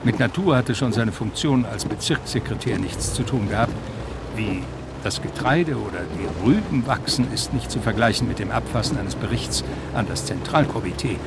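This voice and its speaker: A man's voice: on the low side, expressive, moderate pace, vocal fry, very noisy. A man reading scripted literary narration for a radio play.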